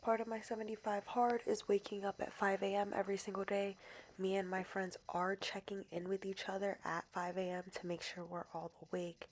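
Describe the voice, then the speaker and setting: A woman's voice: medium pitch, little pitch movement, fairly quick, a little hiss or hum. A young woman in her twenties with a New Jersey accent talks through her diary vlog in a chatty, playful way. Spanish was her first language, and she leans on emphatic repeats to drive a point home. A faint room ambience sits under her voice. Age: 20s. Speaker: vlogger. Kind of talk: casual diary vlog voice-over.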